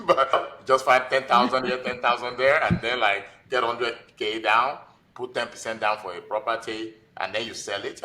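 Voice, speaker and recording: A man's voice: medium pitch, sharp tone, low-quality recording. A man in his forties with a Nigerian accent, telling his own story informally as a guest in a conversational interview. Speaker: podcast guest, physician and investor.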